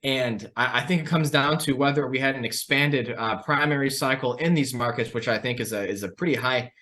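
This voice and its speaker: A man's voice: mid-range voice, brisk, pushed and bright. A man hosting a financial discussion, giving conversational market commentary and putting questions to an analyst.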